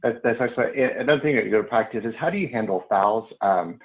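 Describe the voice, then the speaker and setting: A man's voice: lowish voice, fast. A man who coaches a youth team, asking questions on a call in a hesitant way, often restarting his sentences. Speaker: caller, youth basketball coach. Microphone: remote call. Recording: tinny phone-like line.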